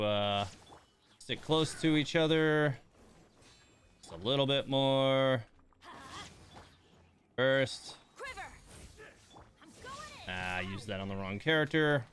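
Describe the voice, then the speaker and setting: A man's voice: medium pitch, fairly bright tone, slight background noise. A man giving casual live game commentary, thinking aloud in broken, hesitant phrases. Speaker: game livestream host.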